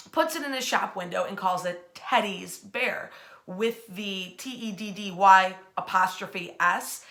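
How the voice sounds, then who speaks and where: A woman hosting an informal history storytime from her bed at home, chatty and animated, using colloquial phrasing as she speaks to an online audience. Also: medium pitch. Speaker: host of a nightly history storytime.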